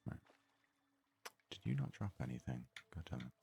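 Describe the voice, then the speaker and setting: Very deep, creaky, hushed man. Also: sing-song, moderate pace, slight background noise. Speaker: gaming video host. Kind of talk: soft-spoken ASMR game commentary.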